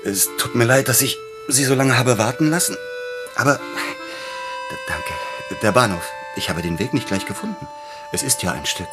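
An actor playing a celebrated pianist and bereaved husband in a German radio play, speaking formally and politely with some hesitation. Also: male voice, mid-range voice, animated, normal speed, over music, close to an everyday voice. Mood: polite, flustered.

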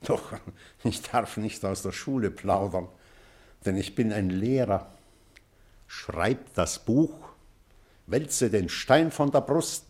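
An actor playing a weary, remorseful old male schoolteacher in a literary drama, pensive and measured, with weighty pauses. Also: lowish voice, darkish tone. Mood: urgent, reflective. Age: older. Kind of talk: German literary stage drama.

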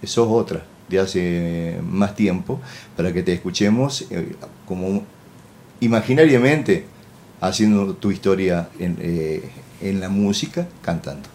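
A man from Argentina with an Argentine accent, hosting a radio interview in a friendly, soft-spoken way. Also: low voice, a little slow, slight background noise. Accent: Argentine Rioplatense. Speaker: radio host. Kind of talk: casual interview.

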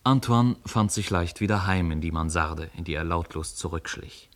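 A man's voice: low voice, normal speed, fairly bright tone. A man narrating a story for a radio play in scripted storytelling style.

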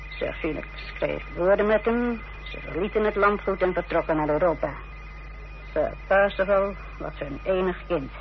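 A woman's voice: on the low side, gravelly. An actress playing an unrepentant village wife in a Dutch radio play, telling her story in a self-justifying way. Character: unrepentant, defiant village wife confessing her part in a fraud. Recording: dull old radio recording with hiss and hum.

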